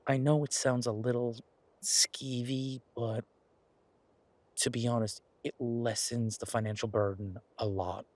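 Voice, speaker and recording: A man playing a practical restaurateur boyfriend in a roleplay audio drama, talking casually and thoughtfully with halting pauses, as if explaining a plan to a partner. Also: medium pitch, fairly even intonation, darkish tone, no room sound, close to an everyday voice. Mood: explanatory, frank. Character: male werewolf boyfriend, practical restaurateur pitching a farm plan. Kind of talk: roleplay audio drama, naturalistic one-sided conversation.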